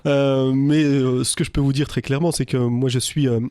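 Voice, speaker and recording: A man's voice: mid-range voice, little pitch movement, normal speed, dark tone, clean tone, no echo. A man from Lorraine, a business lawyer, answering a radio interview in a studio, speaking with many hesitations and fillers. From Lorraine, France. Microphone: studio microphone.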